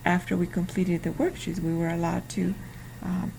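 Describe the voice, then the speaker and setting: A woman's voice: fairly low pitch, level tone, creaky, darkish tone, a little hiss or hum, rough recording. A woman, carefully reading a prepared class presentation. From Mexico. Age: 50s. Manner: careful, deliberate reading with some restarts. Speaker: student.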